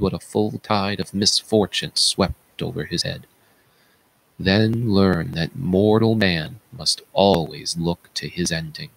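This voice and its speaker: Guy doing a greek chorus elder, solemn and slow, creaky husky voice, moralizing. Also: low voice, a bit bright, heavily acted, low-quality recording. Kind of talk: read-aloud Greek tragedy.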